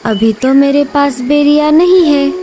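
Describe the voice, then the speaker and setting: A woman doing a gentle hardworking mother bird. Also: high voice, a little slow, slight background noise.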